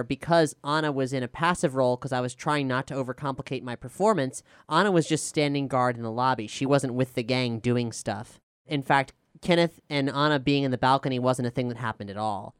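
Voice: medium pitch, clean tone, no room sound, clean studio sound. A podcast showrunner explaining things in an emphatic, casually sweary way during a behind-the-scenes discussion.

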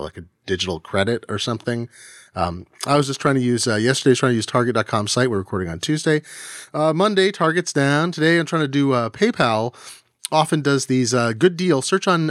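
A man in his forties co-hosting a podcast, chatting casually and animatedly, jumping high in pitch for jokes. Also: fairly bright tone, dry recording, studio quality. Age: 40s. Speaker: podcast co-host. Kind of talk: casual chat.

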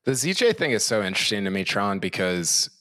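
A man's voice: lowish voice, very animated, a little fast, fairly bright tone. A man co-hosting a casual sports podcast, talking hesitantly with restarts and an amused tone. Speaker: podcast co-host. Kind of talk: casual sports roundtable chat.

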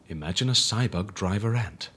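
An actor playing a brisk, flamboyant fixer who stages fake deaths, pressing and exclamatory in a comedic audio drama. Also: male voice, fairly low pitch, fairly bright tone, breathy edge, full character voice.

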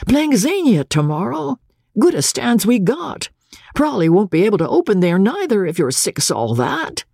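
A woman's voice: medium pitch, very animated, moderate pace, vocal fry, dark tone, slightly rough, dry recording, clean studio sound, voice pushed up, full character voice. A woman voicing a stubborn, grumbling showboat man, folksy and insistent, in an audiobook story. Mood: complaining.

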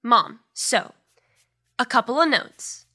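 A woman's voice: fairly high pitch, animated, slow pace, bright, forceful tone, soft background music, exaggerated voice. A woman voicing a precocious, sassy young girl who is blunt and bossy, in a comedic audio drama. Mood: bossy, opinionated.

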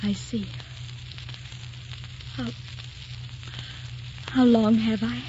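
An actress playing a tender, devoted young wife in a 1940s radio drama, earnest and romantic. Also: female voice, on the high side, steady tone, drawn-out words, warm tone, slight background noise. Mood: brave, subdued. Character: tender, devoted, frail twenty-three-year-old wife of a writer. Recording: dull vintage 1940s radio recording, music behind.

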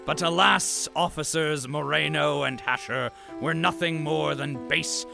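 Gravelly, melodramatic male storyteller. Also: on the high side, steady tone, over music. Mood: scornful lament. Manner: slow, florid, mournful declaiming. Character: melodramatic storyteller narrating tales of betrayal. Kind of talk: audio drama narration.

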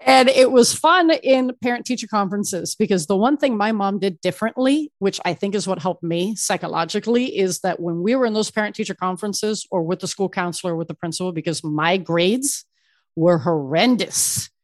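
A middle-aged woman therapist, born in Ecuador and raised in Miami, telling her story in an animated way as a podcast guest. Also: medium pitch, normal speed, no echo, studio quality. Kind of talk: casual interview storytelling.

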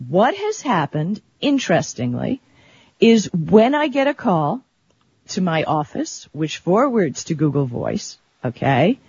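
A tech talk show co-host explaining things in a chatty, colloquial, conversational way, with a husky, rough-edged voice. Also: medium pitch, sing-song, unhurried, a little mellow, dry recording. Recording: dull, lo-fi audio.

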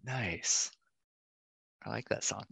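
A man's voice: fairly low pitch, slow, creaky, sharp tone, husky, no room sound. A man hosting a casual podcast interview, putting questions to a guest in an encouraging way. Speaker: podcast host. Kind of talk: casual interview, asking quick-fire questions.

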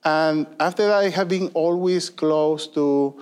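A man's voice: on the high side, fairly even intonation, measured pace, a little mellow, clear, resonant tone. A man, a guest answering interview questions earnestly, with many fillers and tag questions. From Venezuela. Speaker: guest, industry consulting leader.

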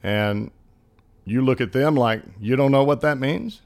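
A man's voice: mid-range voice, unhurried, a little mellow. Middle-aged Southern man, country accent, homespun chuckling storyteller; mid-range voice. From Southern United States. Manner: folksy, chuckling, homespun sayings. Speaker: guest, former ballplayer. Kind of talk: casual interview storytelling.

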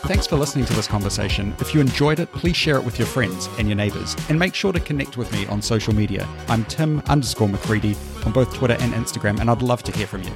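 Gravelly New Zealand podcast host. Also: male voice, lowish voice, expressive, over music. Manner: upbeat, brisk interviewer. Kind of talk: podcast interviewing.

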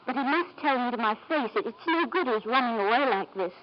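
A woman's voice: on the high side, lively intonation, a little fast, close to an everyday voice. An actress playing an anxious but proud young woman in an old-time radio drama, speaking hesitantly and deferentially. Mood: hesitant, hopeful. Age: young adult. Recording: dull, noisy 1940s-50s radio recording.

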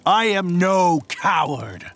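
A man's voice: sits high, very animated, slow pace, vocal fry, fairly bright tone, no room sound, heavily acted. A man playing a proud, defiant young demigod who boasts and stammers when caught out.

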